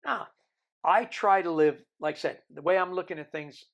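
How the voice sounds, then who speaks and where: A man in his sixties, from the Isle of Man, with a Birmingham accent softened by decades in America, telling his life story in an interview with rambling, joking asides. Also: high-pitched, moderate pace, vocal fry. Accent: American-tinged Birmingham English. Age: 60s. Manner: rambling, joking, self-deprecating. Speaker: interview guest.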